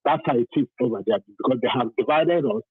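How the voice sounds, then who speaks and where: Over a tinny, phone-like connection, a husky Nigerian man calls in to a talk show. He speaks emphatically and with passion, circling back over phrases to stress them. The line has a roomy ring to it. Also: medium pitch, fast. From southern Nigeria. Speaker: caller to a talk programme. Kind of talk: impassioned phone-in testimony.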